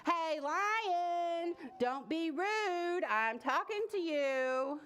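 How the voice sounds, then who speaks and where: A brash young boy from a picture book, acted out by a woman. The voice jumps high and swings widely, exclaiming and demanding with easy indignation. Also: very animated, takes it slowly, sharp tone, full character voice. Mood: indignant. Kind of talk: picture-book read-aloud.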